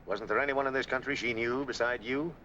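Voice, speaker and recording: A man's voice: mid-range voice, fairly even intonation, a little fast, pushed and bright, lo-fi sound, lightly acted. An actor playing a brusque, skeptical American man in a 1940s film noir, matter-of-fact and wry. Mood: brisk, practical. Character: brusque, skeptical married man, hard-nosed fact-finder. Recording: 1940s film soundtrack, faint background noise.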